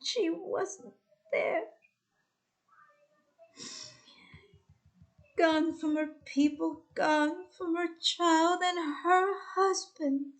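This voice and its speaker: A woman's voice: extremely high pitch, steady tone, takes it slowly, voice pushed up, low-quality recording. A woman playing an anguished Trojan warrior who recounts loss in an epic poem, dramatic, emotional and urgent. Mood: grieving, frantic.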